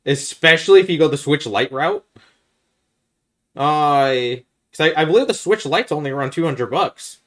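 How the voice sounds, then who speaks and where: A man's voice: on the high side, moderate pace, fairly bright tone. Explanatory man chatting on a gaming podcast. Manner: explanatory, makes points step by step. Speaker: podcast host. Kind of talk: casual gaming podcast chat.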